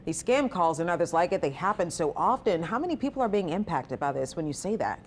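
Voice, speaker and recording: Low-pitched woman anchoring TV news. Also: very animated, quick pace, slight background noise. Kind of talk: TV news anchoring, talking with a reporter. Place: TV news studio.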